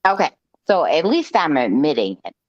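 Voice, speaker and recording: A woman's voice: sits low, very animated, normal speed, no room sound. A woman hosting a live stream, telling a personal story in a chatty, joking way, with frequent "okay" asides. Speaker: host. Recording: dull lo-fi stream audio.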